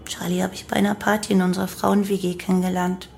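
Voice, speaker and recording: A woman's voice: on the low side, flat delivery, quick pace, gravelly, a little mellow, a little hiss or hum. A woman playing a young female cult follower who recounts her life and crimes matter-of-factly in a German radio play.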